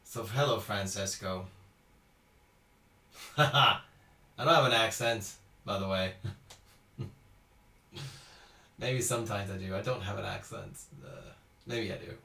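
A man's voice: on the low side, takes it slowly, creaky, long pauses, pushed and bright. Chatty man with a roomy echo. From Houston, Texas. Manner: chatty, reads out viewer comments, laughs often. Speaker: cello teacher. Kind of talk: casual live-stream Q&A. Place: small indoor room at home.

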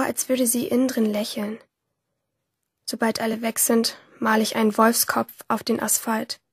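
Intense schoolgirl, high-pitched. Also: gravelly, slightly rough, no room sound, studio quality, exaggerated voice. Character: troubled, yearning teenage schoolgirl narrating her inner life. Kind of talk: first-person radio drama monologue. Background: music under the voice.

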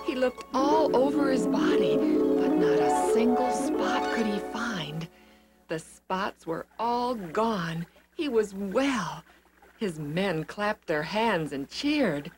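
A woman narrating a scripted children's story with simple, expressive phrasing. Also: mid-range voice.

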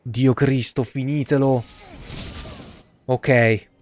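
A man's voice: medium pitch, steady tone, drawn-out words, darkish tone, dry recording, soft background music. A man in his thirties doing live gameplay commentary, grumbling and foul-mouthed as he chats casually. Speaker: video game streamer. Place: indoor room at a streaming desk.

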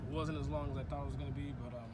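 A man's voice: mid-range voice, flat delivery, very fast. A young man in his twenties chatting casually and with slang as he vlogs from a car and a big warehouse store on a handheld phone. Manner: laid-back, slangy, instructive asides. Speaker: vlogger. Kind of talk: casual vlog chat. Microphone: handheld phone camera at arm's length. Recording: noisy store and car background.

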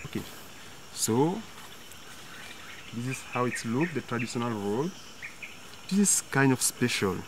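Slow, halting young man outdoors. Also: mid-range voice, big pitch swings. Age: young adult. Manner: halting, searching for words in non-native English. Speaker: local companion demonstrating fishing. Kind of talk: informal demonstration. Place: outdoors in jungle at a riverbank. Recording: clear insect and jungle noise.

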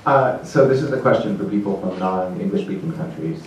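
A man's voice: lowish voice, fairly quick, background noise. A man in the audience asking a question hesitantly, with many ums and uhs. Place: conference hall. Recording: dull, echoey hall audio from far off.

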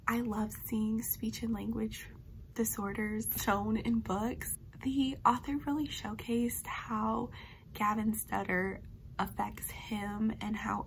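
A young woman in her twenties talks books in her bedroom, upbeat and rambling, her voice a touch hoarse. Her pitch sits fairly high, with a gravelly fry running through it. A faint hiss hangs behind her. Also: rough recording. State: slightly hoarse from a cough. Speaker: book vlogger. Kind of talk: casual book review chat. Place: bedroom, indoors. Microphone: webcam facing her at close range.

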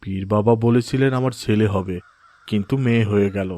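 A man's voice: on the low side, fairly quick, warm tone, no echo, close to an everyday voice. A man voicing an adult father in a cartoon, dejected and easily swayed, with a hesitant, earnest manner. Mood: downcast. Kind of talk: cartoon voice acting in moral story.

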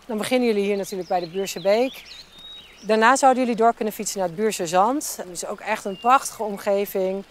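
Hotel owner woman, polite, explains stuff, lots of ehs, average pitch. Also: brisk, a little hiss or hum. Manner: polite and informative, with fillers. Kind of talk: welcoming explanation.

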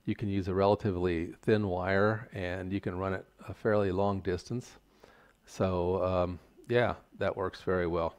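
An older man giving a measured, plain-spoken, step-by-step tutorial explanation. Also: sits low, steady tone, darkish tone, smooth. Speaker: instructor. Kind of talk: explanatory tutorial narration over slides.

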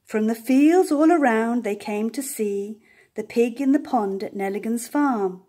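An older woman reading a children's picture book aloud, with expressive emphasis and playful animal sounds. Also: a little high, a little slow. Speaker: storyteller. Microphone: camera above the book on a table.

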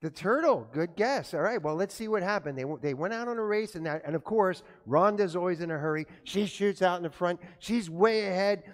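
A pastor giving a children's sermon in a church, telling an animated story and asking the children questions. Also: male voice, high voice, fairly quick, few pauses. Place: church sanctuary. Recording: echoey church from far off.

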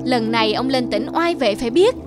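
A woman voicing a deferential male servant in an animated folk tale, respectful and explanatory. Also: fairly high pitch, a little fast, over loud music, lightly acted. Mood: flattering.

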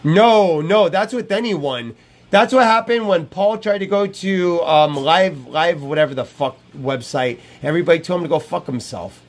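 A man's voice: on the high side, normal speed. A man in his thirties live streaming from a small room over an earbud mic, talking in a brash, profane way and repeating himself often. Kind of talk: rambling live stream chat. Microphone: wired earbud headset mic.

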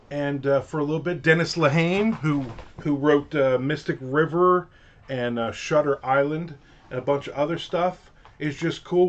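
Middle-aged New Jersey man, casual chatty author livestream, home office, slightly muffled webcam sound. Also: mid-range voice. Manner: rambling, chatty, lots of fillers and restarts. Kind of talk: casual chat. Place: home office with shelves of collectibles.

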